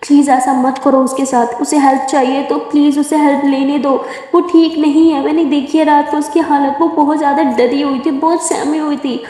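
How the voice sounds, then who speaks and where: A woman's voice: high voice, flat delivery, quick pace, lightly acted. A woman voicing a young woman who pleads with and soothes a frightened friend in an echoey room. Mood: upset. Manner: soft, pleading, rising to urgent protest. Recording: echoey room with long reverb.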